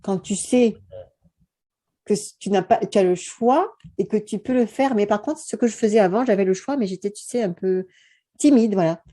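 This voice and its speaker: Woman host from France, very mellow dark tone, earnest, chatty, lots of fillers. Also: medium pitch, moderate pace. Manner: earnest, talkative, many fillers. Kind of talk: casual conversation.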